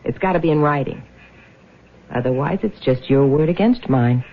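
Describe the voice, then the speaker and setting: A woman's voice: low-pitched, moderate pace. An actress playing a tough, suspicious American woman with a blunt, slangy, taunting manner in a 1970s radio drama. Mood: suspicious, combative. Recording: muffled vintage radio recording.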